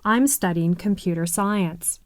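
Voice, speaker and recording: Female reader for a listening track, unhurried, dry studio sound. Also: fairly low pitch, a little mellow, no room sound. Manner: slow, careful, clearly enunciated. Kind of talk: scripted textbook listening exercise reading.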